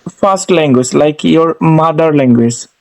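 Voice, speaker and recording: A man's voice: fairly high pitch, slow pace, creaky, darkish tone, rough recording. A man with an Indian accent leading a casual English practice conversation as a learner, hesitant and often repeating his phrases.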